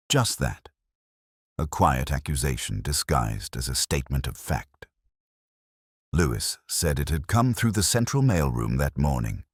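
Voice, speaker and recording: A man playing a brooding, erudite middle-aged detective, narrating an audio drama in measured, reflective, literary phrases. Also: very deep voice, slightly rough, dry recording, clean studio sound, full character voice. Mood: wry, uneasy. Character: brooding, erudite male English police detective, melancholy, observant.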